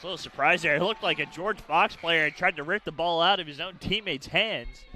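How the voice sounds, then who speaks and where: Fast-talking bright color commentator man. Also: fairly high pitch, faint music behind. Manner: conversational analysis with fillers.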